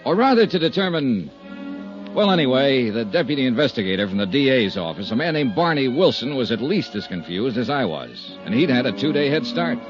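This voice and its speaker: An actor playing a breezy, wry American insurance investigator in a 1950s radio drama, speaking briskly in clipped phrases. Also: male voice, mid-range voice, very animated, music in the background, close to an everyday voice. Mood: wry, businesslike. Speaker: lead actor and narrator of radio detective serial. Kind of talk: 1950s radio detective acting. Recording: dull 1950s radio recording.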